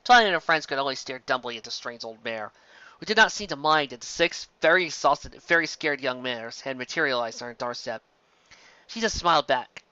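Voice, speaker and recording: A man reading fan fiction aloud as an amateur narrator, expressive and occasionally stumbling over words. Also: medium pitch, a little fast, clear, resonant tone, dry recording, dull audio.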